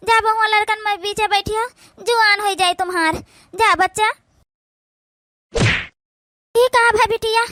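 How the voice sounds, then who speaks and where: A very high, bright cartoon voice, acted by a woman, playing a weary village stepmother with an Awadhi accent. She is plaintive and devoted, coaxing with endearments. The recording is close and dry. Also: dry recording. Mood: pleading, sorrowful. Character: weary, loving village stepmother, tearfully devoted to her daughter. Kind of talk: village cartoon comedy-drama acting.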